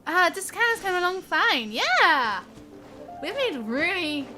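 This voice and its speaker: A chatty, giggly, expressive woman live streaming a game in casual chat. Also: extremely high pitch, unhurried, poor audio. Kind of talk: casual livestream chat with reactions and read-along comments. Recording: game music behind the voice. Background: game music.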